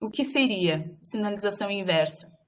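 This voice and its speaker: A woman interpreter giving live voice to a signed lecture, speaking in halting phrases with frequent fillers and restarts. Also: medium pitch, expressive. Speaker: sign language voice interpreter for a workshop.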